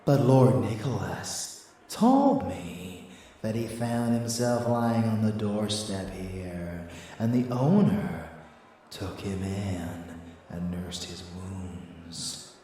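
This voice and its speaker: Casting: male actor as menacing yet doting vampire boyfriend, teasing ASMR delivery, very unhurried, heard in a very roomy space. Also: lowish voice, expressive, mellow, far from the mic, a little hiss or hum. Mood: musing. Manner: slow, teasing, boastful, doting. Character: rebellious, devoted male vampire lover with menace. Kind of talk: intimate ASMR audio roleplay.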